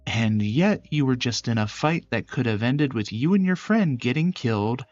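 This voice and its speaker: Man acting a measured young prosecutor, dull sound. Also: medium pitch, lively intonation, darkish tone, dry recording, faint music behind, natural voice. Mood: hesitant. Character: John Rockford, polite, reserved young prosecutor, male. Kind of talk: visual novel character voice acting.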